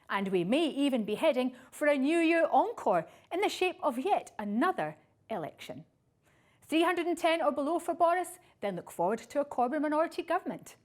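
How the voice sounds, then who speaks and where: A woman presents scripted political commentary in a high voice. Her intonation is very lively, rising and falling widely, with a wry, arch delivery.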